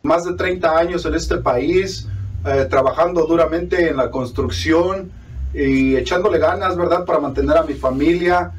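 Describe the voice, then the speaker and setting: A man speaking in an interview indoors at home, hesitant and heartfelt, with many pauses. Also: on the high side. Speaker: interviewed immigrant worker. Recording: voice a bit far from the mic.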